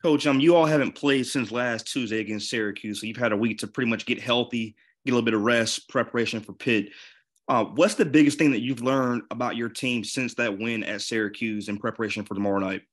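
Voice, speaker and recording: A man's voice: medium pitch, steady tone, a little fast. A man working as a sports reporter, putting questions to a coach on a conference call.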